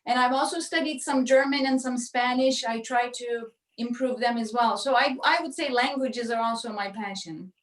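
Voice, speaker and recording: A woman's voice: fairly high pitch, normal speed, hardly any pauses, echoey room, recorded from a distance. A woman whose first language is Turkish, an oboist talking chattily in an online interview. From Turkey. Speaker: principal oboist.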